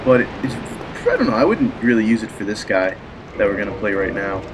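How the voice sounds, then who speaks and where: A man's voice: lowish voice, quick pace, over music, low-quality recording. A man with a stuffy cold voice giving chatty, rambling commentary while he plays a video game. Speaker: let's play host. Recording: steady background noise.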